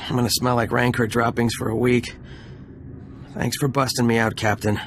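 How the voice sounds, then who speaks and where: A man's voice: mid-range voice, flat delivery, moderate pace, a little hiss or hum, close to an everyday voice. A male actor playing a cocky, grumbling adventurer in a sci-fi video game, with a dry, sarcastic delivery. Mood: wry. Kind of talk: video game cutscene acting.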